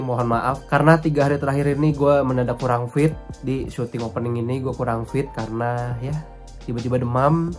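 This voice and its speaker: Young man vlogging casually over music. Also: medium pitch. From Indonesia. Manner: informal, slangy, explanatory. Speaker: motorcycle modification vlogger. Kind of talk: casual vlog chat and product showcase.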